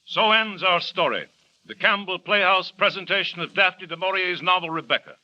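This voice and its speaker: A man's voice: high-pitched, normal speed, music in the background. A man announcing a radio program in a stately, formal manner. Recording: dull vintage radio recording.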